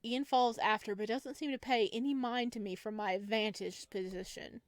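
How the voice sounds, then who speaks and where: A woman reading a visual novel aloud as expressive first-person narration, with a playful, teasing manner. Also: a little high, moderate pace, no room sound.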